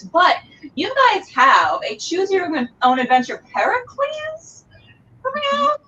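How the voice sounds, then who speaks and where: A woman's voice: fairly high pitch, pushed and bright, lo-fi sound. A woman hosting a casual interview, chatting with effusive enthusiasm. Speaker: interviewer and show host. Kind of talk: casual video interview chat. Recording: distant mic with faint background noise.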